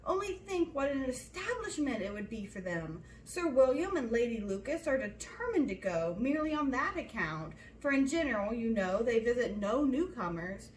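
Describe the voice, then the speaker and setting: A woman's voice: on the high side, very animated, moderate pace, hardly any pauses, reverberant, a little hiss or hum, poor audio. A woman voicing a nervous, fretful middle-aged mother who complains and exclaims insistently in a storybook reading. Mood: pleading, insistent.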